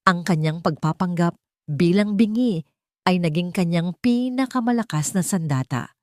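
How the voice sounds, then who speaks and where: Filipino lady reading a drama story, lowish voice, dry studio-like sound. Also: a little mellow, no room sound. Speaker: audiobook narrator. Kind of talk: dramatic scripted story narration.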